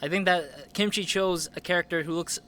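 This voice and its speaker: A man's voice: sits high, fairly quick, sharp tone. A young man co-casting a video game match, laid-back and laughing often, unsure of player names. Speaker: esports co-caster. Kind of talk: casual color commentary. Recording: faint background noise.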